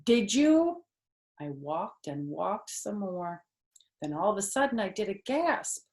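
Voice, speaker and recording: An older woman reading a children's story aloud in an expressive narrating style. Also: lowish voice, unhurried. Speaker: storytime reader, former first-grade teacher.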